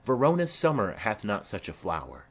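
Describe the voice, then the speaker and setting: A man's voice: lowish voice, huge pitch swings, moderate pace, creaky, slightly rough, heavily acted. A man voicing a formal, insistent noblewoman mother in a Shakespeare play reading. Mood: persuasive. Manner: formal, imperative.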